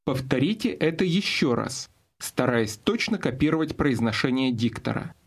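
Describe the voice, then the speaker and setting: Male instructor, husky voice, lively intonation, scripted language-course prompts. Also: medium pitch, moderate pace. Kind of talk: scripted audio lesson narration with prompts.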